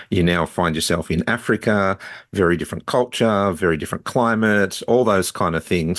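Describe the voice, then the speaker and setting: A man's voice: low-pitched, dry recording, clean studio sound. A man hosting a podcast, asking questions in a conversational interview style.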